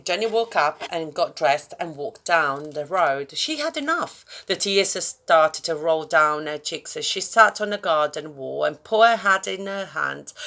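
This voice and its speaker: Woman reading a children's story, creaky low voice. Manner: expressive, addressing young listeners directly. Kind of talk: read-aloud children's story with chatty asides.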